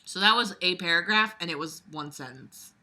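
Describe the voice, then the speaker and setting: A woman reads aloud and picks the text apart as she goes. Her voice sits slightly low for a woman but cuts through with a very bright, tense edge. She is casual, sarcastic and exasperated, and swears freely. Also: lively intonation, moderate pace. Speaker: book reviewer reading aloud and critiquing. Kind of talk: casual sarcastic commentary while reading a novel aloud.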